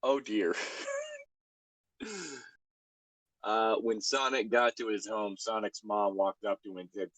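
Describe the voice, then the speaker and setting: A man's voice: on the low side, slow pace, long pauses, a bit bright, no room sound, low-quality recording. A man reading a story aloud and bantering casually, in an amused, exasperated way, stumbling now and then. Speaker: podcast co-host reading a fan fiction aloud.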